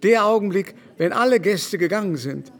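High-voiced gushing aristocratic baron. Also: male voice, animated, moderate pace. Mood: effusive, wistful. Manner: gushing, affected, exclamatory. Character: effusive aristocratic baron, vain, gushing, theatrical aesthete. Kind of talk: literary radio drama acting. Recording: studio recording with music behind.

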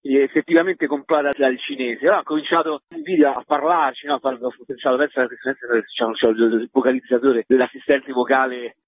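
A man's voice: medium pitch, steady tone, normal speed, hardly any pauses. A man, chatting casually and ramblingly as a theatre performer being interviewed. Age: 50s. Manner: colloquial, rambling. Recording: muffled, phone-like line, husky and dry.

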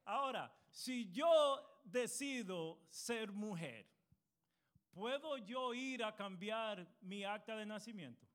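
A man, a pastor and lawyer, giving a church panel talk, earnest and halting with many fillers, addressing his listeners as brothers. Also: sits high, expressive, fairly bright tone. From United States.

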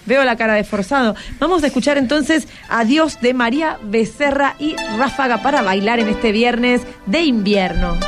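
Argentine woman, radio host, fairly high voice, chatty and encouraging, question-heavy, light music underneath. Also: normal speed, hardly any pauses. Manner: encouraging, asks many questions. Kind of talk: chatty radio hosting with schoolchildren. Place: radio studio.

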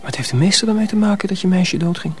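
Higher-voiced, earnest teenage apprentice boy. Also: quick pace, soft background music. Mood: boyish, confident. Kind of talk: Dutch radio drama acting.

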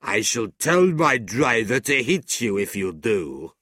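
A man's voice: medium pitch, big pitch swings, a bit bright, no echo, clean studio sound, exaggerated voice. A man voicing a scornful, drunken aristocrat villain with a sneering drawl in a storybook reading. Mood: contemptuous. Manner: slow, sneering, drawling delivery. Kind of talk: audiobook character voice.

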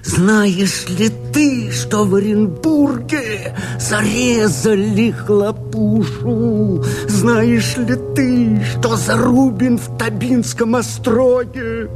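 A despairing rebel fighter in a radio verse drama, haunted by defeat. He declaims slowly and theatrically, full of anguish, over loud dramatic music. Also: high voice. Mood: frantic despair. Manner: slow, anguished, swelling from hushed whispers to cries.

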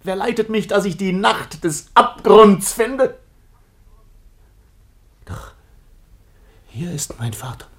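An actor playing a tormented, guilt-ridden son, declaiming in despair in a classical radio drama. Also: male voice, sits high, very animated, slow, long pauses, fairly bright tone, full character voice. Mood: anguished, frantic.

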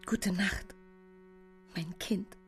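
An ailing mother in a radio drama. She speaks slowly and gently, frail and full of worry, over faint music. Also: lowish voice, steady tone. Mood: tender. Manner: slow, gentle, cautioning. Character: soldier's ailing, worried mother, middle-aged, tender, frail.

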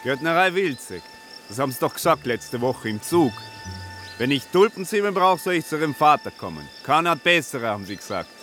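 An actor playing a put-upon gardener's son who grumbles and sounds exasperated, in a radio play. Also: male voice, on the high side, big pitch swings, brisk, a bit bright, faint music behind.

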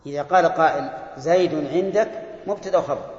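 A man's voice: fairly high pitch, quick pace, a little mellow. A man teaching grammar in a classroom lesson, quizzing students with short prompts. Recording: echoey hall, dull old recording.

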